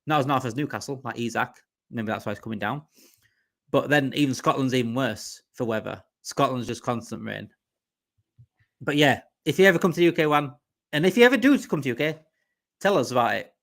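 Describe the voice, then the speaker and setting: A man's voice: medium pitch, animated, fairly quick, a bit bright. Chatty Yorkshire man hosting a livestream. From Yorkshire, England. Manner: rambling and chatty, repeats himself, reads out viewer comments. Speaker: livestream host. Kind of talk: casual live-stream chat.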